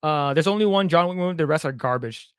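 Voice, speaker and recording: A man's voice: fairly high pitch, quick pace, smooth, dry recording, studio quality. A young man co-hosting a podcast, chatting in a rambling, blunt way with casual swearing. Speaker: podcast co-host. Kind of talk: casual chat.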